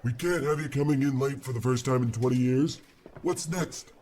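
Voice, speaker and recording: A man's voice: mid-range voice, normal speed, mellow, a little hiss or hum, lightly acted. A man playing a stern, petty post office boss with a scolding manner in a radio comedy play. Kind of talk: old-time radio comedy acting.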